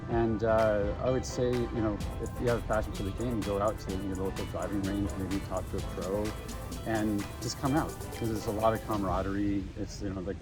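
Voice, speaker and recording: A man, a veteran amputee golfer, giving measured advice in an interview. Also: fairly low pitch, level tone, over music. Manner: measured, many uh fillers. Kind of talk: interview at a golf event.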